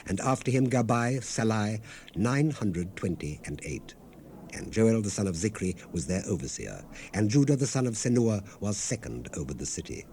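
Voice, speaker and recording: Male narrator for dramatized scripture: fairly deep, deliberate, solemn. Vintage cassette sound, slightly noisy. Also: sing-song, darkish tone. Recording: hissy unprocessed 1970s cassette rip.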